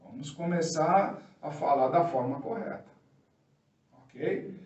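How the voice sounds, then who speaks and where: Male preacher, Brazilian accent, high-pitched voice, colloquial teaching style, self-answered questions, distant microphone. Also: takes it slowly, darkish tone, highs cut off. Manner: didactic, colloquial, posing questions and answering them. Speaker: preacher giving an opening teaching. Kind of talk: informal extemporaneous sermon. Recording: roomy, echoey, distant microphone.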